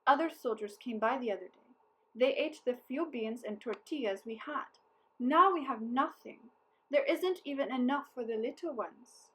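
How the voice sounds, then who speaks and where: A woman's voice: a little high, normal speed, close to an everyday voice, rough recording. A woman voicing a wary, sly male town mayor in a storybook, with emphatic, formal delivery. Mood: feigned regret.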